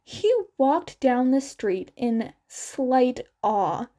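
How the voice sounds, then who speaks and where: A woman reading fan fiction aloud as a scripted, expressive story narration. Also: high-pitched, extremely slow. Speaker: podfic narrator.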